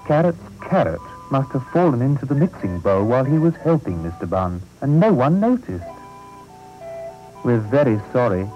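A man's voice: mid-range voice, big pitch swings, normal speed, highs cut off, faint music behind, voice pushed up, lightly acted. A man playing an earnest, polite young male helper who speaks apologetically.

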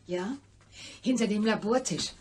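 A woman's voice: mid-range voice, measured pace, darkish tone, slight background noise, natural voice. An actress playing a gossipy, flippant cleaning lady in a radio crime play, chatty and cheeky.

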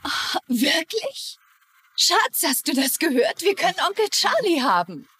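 High-voiced, eager young wife. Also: female voice, very animated, measured pace, pushed and bright, no echo, exaggerated voice. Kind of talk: dubbed TV crime drama acting.

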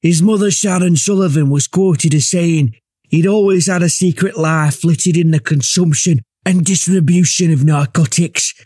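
A man's voice: a little high, normal speed, dark tone, slightly rough, dry recording, studio quality. An actor playing an angry, bitter, accusing father in an audiobook drama. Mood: fierce. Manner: fierce, accusing.

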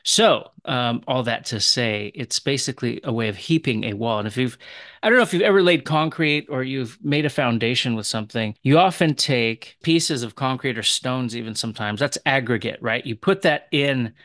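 A man's voice: mid-range voice, moderate pace, fairly bright tone, dry recording. A man teaching a recorded language lesson, explaining in a chatty way. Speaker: teacher of Aramaic.

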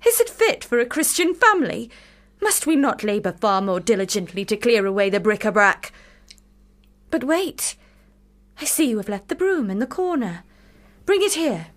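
An actress playing a stern, commanding, sardonic Victorian elder sister who preaches and cross-examines, in a period radio drama. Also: female voice, a little high, big pitch swings, normal speed. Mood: stern reproach. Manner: preachy, exacting, rhetorical questions.

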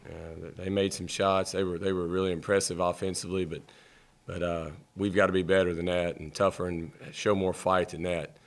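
A middle-aged man, the head coach, answering questions at a postgame press conference with a table mic, measured and halting, repeating words as he thinks. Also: low-pitched, little pitch movement.

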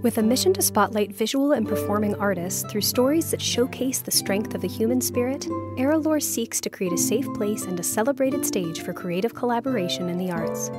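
A woman's voice: a little high, lively intonation, fairly quick, hardly any pauses. A woman announcer reading scripted credits narration. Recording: loud music under the voice. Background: music.

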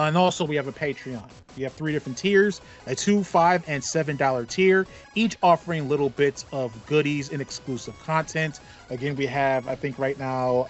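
A man in his thirties co-hosting a podcast in casual chat, acting out his thoughts as he talks. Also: on the high side. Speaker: podcast co-host. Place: small room at home with acoustic foam, indoors. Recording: slightly dull, band-limited audio.

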